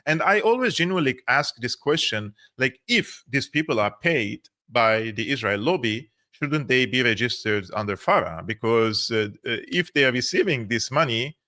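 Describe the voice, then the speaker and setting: Man from Syria, bright tone, earnest political talk, restarts and repeats words. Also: medium pitch, animated, moderate pace, hardly any pauses. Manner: earnest, repeats words while searching for phrasing. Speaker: political commentary host. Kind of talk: livestream political discussion.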